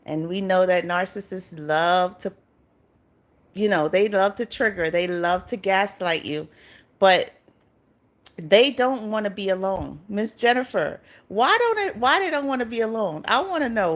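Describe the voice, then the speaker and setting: Middle-aged woman from Barbados, live-stream host, chatty, greets viewers and laughs often. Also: fairly low pitch. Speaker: YouTube channel host.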